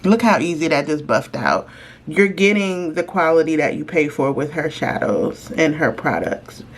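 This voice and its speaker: Low-voiced congested woman, chatty. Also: expressive. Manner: chatty, friendly, slows down while applying makeup. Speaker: beauty vlogger. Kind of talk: casual makeup review.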